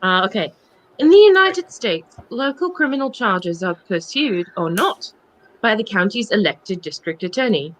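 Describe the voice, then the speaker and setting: A woman's voice: mid-range voice, very animated, moderate pace, a little hiss or hum, low-quality recording. A woman reading on a charity livestream, moving between dramatic book reading and casual asides in a wry, mocking manner.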